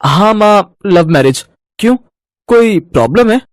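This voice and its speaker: A man's voice: high-pitched, expressive, unhurried, no room sound, studio quality. A man voicing a confident, earnest young son in a family cartoon. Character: confident young working son bringing home a love match.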